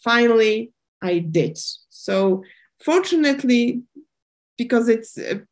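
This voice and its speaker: A woman historian speaking English as a with many pauses, fillers and restarts, describing her archival work on a panel. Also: fairly high pitch, lively intonation, a little mellow. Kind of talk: panel discussion answer.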